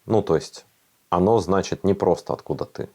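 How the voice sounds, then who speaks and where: A young Siberian-born man speaks in a low, deep voice. He sounds worn out and quiet, talking openly in a documentary interview and often letting his sentences trail off. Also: normal speed. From Siberia, Russia. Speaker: volunteer interviewee.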